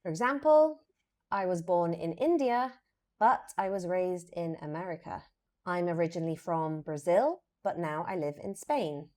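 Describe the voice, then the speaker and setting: British woman teaching English, low-pitched voice. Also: expressive, a little mellow. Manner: clear, deliberate, emphatic modelling of phrases. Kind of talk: instructional lesson to camera, scripted explanation with example phrases.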